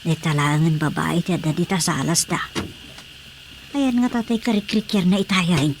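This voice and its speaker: A woman plays a nosy village woman who mutters to herself in exasperation in a radio comedy. Her voice is on the low side for a woman. Faint noise and crickets sit behind her. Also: moderate pace, close to an everyday voice. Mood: muttering, wary. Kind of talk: Ilocano radio drama comedy acting.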